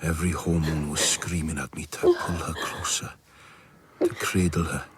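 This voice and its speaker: A man's voice: a bass voice, fairly even intonation, moderate pace, background noise. A man narrating a radio drama in the first person as a wry, lovelorn doctor, with a literary, confessional manner. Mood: longing. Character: wry, lovelorn doctor recounting his own story.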